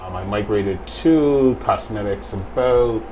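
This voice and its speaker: A middle-aged man from Barbados talks in a low voice, unhurried and careful, pausing often as he explains. He sits in a small plain studio room. A clear hiss runs underneath the recording. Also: lo-fi sound. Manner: measured, explanatory, with frequent pauses and fillers. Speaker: guest, quality assurance technician. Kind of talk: casual interview answers.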